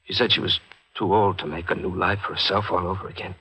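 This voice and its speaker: Guilt-ridden newsman, lowish voice, raspy edge, halting, anguished, 1940s radio mystery. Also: flat delivery, bright, forceful tone, exaggerated voice, rough recording. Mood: haunted, dazed. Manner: halting, anguished, stammering confession. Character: guilt-ridden, haunted newspaper reporter confessing under truth serum.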